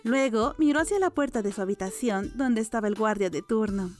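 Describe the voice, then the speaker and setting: A woman narrates a novel as an audiobook storyteller. Her voice sits fairly high, reading scripted prose over soft background music. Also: brisk.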